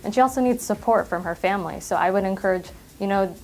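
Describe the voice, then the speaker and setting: A woman's voice: medium pitch, quick pace, a little hiss or hum. A young adult woman from Michigan who grew up speaking Arabic first, a clinic director talking earnestly in an interview, often saying "you know". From Michigan, USA. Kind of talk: interview monologue.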